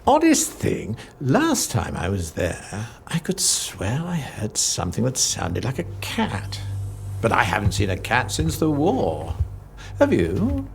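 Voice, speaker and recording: A menacing old general in a video game drama, slow and gravelly. His delivery is theatrical and insinuating, swinging widely in pitch, with a possessive, wistful edge. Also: huge pitch swings, a little hiss or hum, full character voice. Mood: wry, musing. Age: older.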